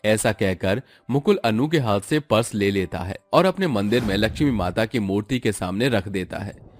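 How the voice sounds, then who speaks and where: A man's voice: on the low side, animated, moderate pace, faint music behind. A man narrating a scripted moral story as the storyteller.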